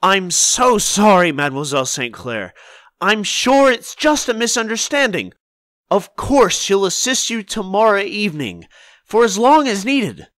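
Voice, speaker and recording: Man acting a fawning, very animated shop manager. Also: high-pitched, unhurried, no room sound, full character voice. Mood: placating. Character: fawning male flower shop manager, cautious and placating. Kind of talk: visual novel voice acting.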